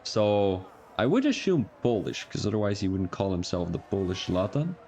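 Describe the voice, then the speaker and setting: A man's voice: fairly low pitch, big pitch swings, normal speed, a little mellow, slight background noise. A young man from Belgium with an Antwerp Flemish accent, chatting casually and rambling as a racing livestream commentator, chuckling and correcting himself as he goes. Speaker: race commentator.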